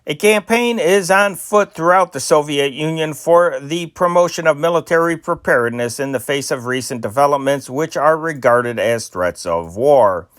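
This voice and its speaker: A man's voice: on the high side, lively intonation, normal speed, few pauses, a bit bright, dry recording, studio quality. A man hosting a channel, reading old newspaper stories aloud in a plain amateur way, with small slips and restarts. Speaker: newspaper reader and channel host.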